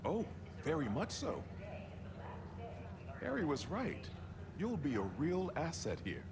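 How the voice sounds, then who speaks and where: An actor playing an encouraging scientist who explains his work in measured tones in a game cutscene. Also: on the high side, wildly swooping pitch, background noise, exaggerated voice. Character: middle-aged male plant geneticist, genial and encouraging. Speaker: scientist and mentor. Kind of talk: video game cutscene acting. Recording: game soundtrack music behind.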